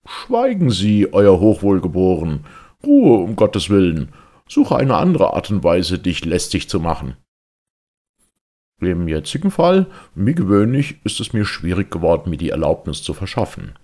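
A man playing an ironic humorist orator, delivering a theatrical, mock-earnest formal speech with dramatic emphasis in a husky, gravelly voice. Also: sits low, wildly swooping pitch, measured pace, dark tone, exaggerated voice. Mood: exasperated, then mock indignation. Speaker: reader. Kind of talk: theatrical literary speech reading.

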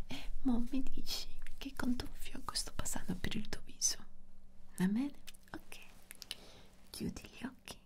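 A young woman whispering softly and reassuringly in an ASMR medical exam roleplay, close to the microphone. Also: on the high side. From Italy. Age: young adult. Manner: soft, unhurried, reassuring. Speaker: ASMR creator playing a medical examiner. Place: small dark quiet room, indoors.

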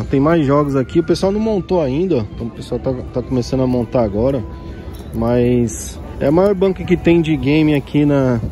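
A man's voice: mid-range voice, warm tone. A Brazilian man with a São Paulo accent chatting casually and in slang as a vlogger, walking through an outdoor market. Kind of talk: casual handheld market walkthrough, slangy chat. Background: crowd and street sounds.

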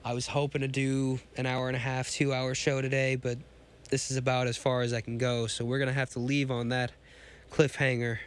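A hoarse man with a cold, hosting a podcast and reading a pulp science fiction story aloud, often stumbling and restarting lines. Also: mid-range voice, monotone, fairly quick.

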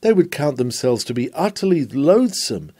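A man reading a Victorian sermon aloud in a solemn, declamatory way, with dramatic swells and hushed drops. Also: on the high side, big pitch swings, moderate pace. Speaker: sermon reader.

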